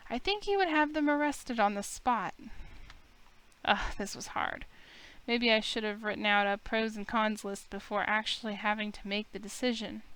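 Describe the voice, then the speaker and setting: A woman's voice: medium pitch, normal speed, fairly bright tone, clear, resonant tone. A woman reading a visual novel aloud in the first person, acting out the heroine's lines.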